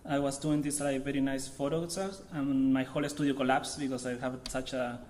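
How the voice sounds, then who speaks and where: A man from Chile in his thirties with a Chilean accent, giving an informal artist talk to an audience in a halting way, searching for words. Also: mid-range voice, little pitch movement, a little mellow. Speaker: visiting artist.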